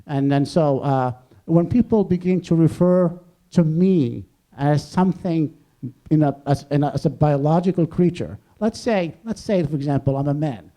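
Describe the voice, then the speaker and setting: A man speaking off the cuff as a professor in an academic discussion, discursive and halting, rephrasing as he goes. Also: fairly high pitch, mellow. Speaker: professor on a debate panel. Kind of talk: extemporaneous academic discussion before an audience.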